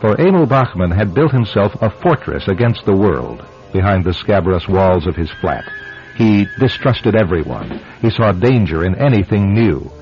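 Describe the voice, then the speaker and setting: Low-voiced, dramatic male radio narrator. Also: very animated. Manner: dramatic, deliberate storytelling. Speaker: host and narrator. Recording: muffled old radio broadcast, faint music.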